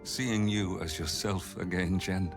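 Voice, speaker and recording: An actor playing a gentle, solemn older father in a video game, speaking in a measured, tender way. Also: low voice, steady tone, over music. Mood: reassuring, tender. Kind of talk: video game cutscene acting.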